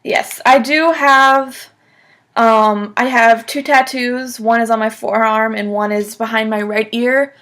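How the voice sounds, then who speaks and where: A young woman in her twenties with a slightly high voice gives conversational answers in a phone job interview. She speaks casually, peppering sentences with "like" as she explains herself. She is picked up by a webcam at desk distance in a small room. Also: fairly even intonation, takes it slowly, fairly bright tone. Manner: casual, frequent "like" as filler. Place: small room at home, indoors.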